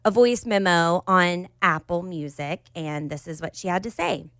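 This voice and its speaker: Smooth, dry-voiced woman podcast co-host. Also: lowish voice, normal speed, no echo. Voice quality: slightly raspy. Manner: animated, bursts into cheery put-on voices. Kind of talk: casual chat.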